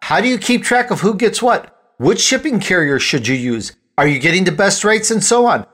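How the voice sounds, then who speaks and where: A man's voice: high voice, very animated, moderate pace, fairly bright tone. A man hosting a show, reading scripted announcements and sponsor copy addressed directly to listeners. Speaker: show host. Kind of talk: scripted host announcement and ad read.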